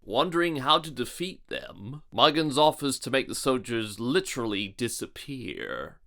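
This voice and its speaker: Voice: mid-range voice, very animated, measured pace, vocal fry, fairly bright tone. A sarcastic video reviewer narrating scripted, mocking commentary.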